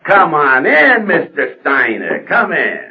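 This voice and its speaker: An actor playing a glib, pushy senator in a radio drama, smooth-talking, insistent and blustering. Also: male voice, on the high side, wildly swooping pitch, slow, lo-fi sound, exaggerated voice. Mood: glad-handing. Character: glib, pushy male senator and party fixer.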